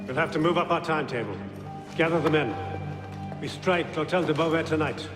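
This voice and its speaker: An actor as the commanding master of a secret order, giving clipped, urgent orders. His voice is slightly high and echoes in a game cutscene over music. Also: brisk, lightly acted. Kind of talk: video game cutscene acting. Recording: roomy echo under music.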